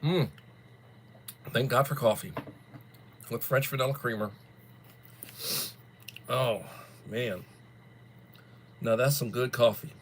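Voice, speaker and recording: A man's voice: mid-range voice, slight background noise. Congested man chatting on a livestream. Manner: rambling, drawn-out phrasing. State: congested, coughing after flu. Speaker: livestream host. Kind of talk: casual chat greeting viewers.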